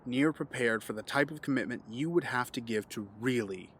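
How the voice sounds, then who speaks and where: An actor playing a calm, condescending, menacing villain mastermind in an audio drama, measured and taunting. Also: male voice, mid-range voice, slight background noise, lightly acted. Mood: condescending, accusing.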